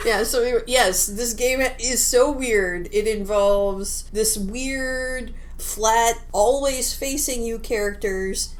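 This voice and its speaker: A woman's voice: fairly high pitch, takes it slowly, few pauses. A woman co-hosting a podcast, chatting casually about video games in an informal, jokey, rambling way. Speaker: podcast co-host.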